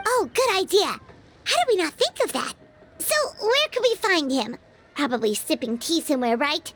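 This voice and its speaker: A woman voicing a tiny, childlike, excitable fairy companion in a video game, with a squeaky, perky delivery. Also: normal speed, a little hiss or hum, full character voice. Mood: cheerful. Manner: perky, exclamatory.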